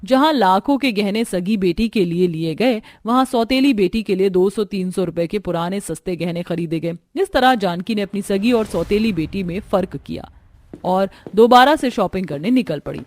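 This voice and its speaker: A woman's voice: mid-range voice, animated, normal speed, a little mellow, no room sound. A woman reading scripted narration for a cartoon family story.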